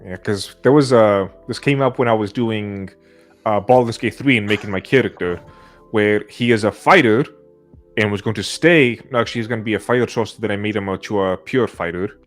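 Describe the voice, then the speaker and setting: Slightly low-voiced man chatting over faint music. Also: a little fast. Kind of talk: casual chat about roleplaying games.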